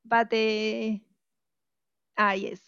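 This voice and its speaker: Argentine woman math lecturer on a video call, high voice, dry muffled sound. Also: flat delivery, very slow, a bit bright, clear, resonant tone, no echo. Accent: Argentine Spanish. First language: Spanish. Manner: friendly, laughs often, many fillers. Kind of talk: informal online lecture.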